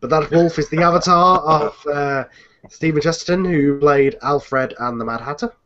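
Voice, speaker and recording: A man's voice: on the high side, normal speed, a bit bright. A man casually hosting an online group chat, rambling along and correcting himself as he goes. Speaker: host of an online cast chat. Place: online group video call. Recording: dull, lo-fi online call audio.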